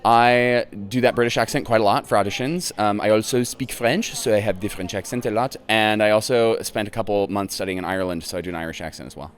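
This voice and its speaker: Low-voiced young male actor. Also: fast, hardly any pauses. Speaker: stage actor. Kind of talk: casual interview.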